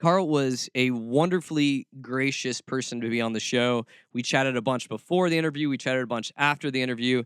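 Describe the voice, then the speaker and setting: Man, show host, casual, informal, effusive, medium pitch, dry close recording. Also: brisk, few pauses, a bit bright, clear, resonant tone, dry recording. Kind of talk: casual interview hosting.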